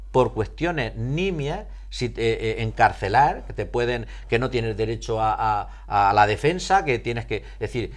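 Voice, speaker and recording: A man giving explanatory interview answers in a didactic way, posing and repeating rhetorical questions and often searching for words. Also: mid-range voice. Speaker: psychologist being interviewed.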